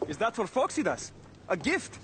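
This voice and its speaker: A man's voice: sits high, very animated, normal speed, fairly bright tone, slight background noise. A man playing a teasing, pushy companion in a video game set in antiquity, prying and needling. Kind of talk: video game cutscene acting.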